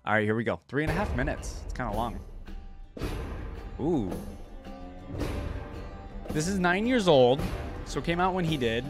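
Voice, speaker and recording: A man's voice: a little high, animated, normal speed, pauses often. A man hosting a reaction video with casual, slangy running commentary. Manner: informal running asides, slangy. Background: music from the watched video.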